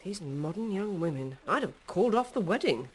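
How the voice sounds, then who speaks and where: An actress playing a detective's middle-aged wife in a radio drama, conversational, sympathetic and gently disapproving. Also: female voice, fairly low pitch, very animated, moderate pace, a little hiss or hum, poor audio. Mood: mildly disapproving. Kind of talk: naturalistic radio drama acting.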